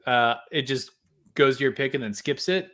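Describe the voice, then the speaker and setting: A man's voice: medium pitch, moderate pace, sharp tone. A man on a casual podcast who talks hesitantly, with many ums and likes. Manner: hesitant, lots of fillers. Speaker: podcast co-host. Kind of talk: casual chat.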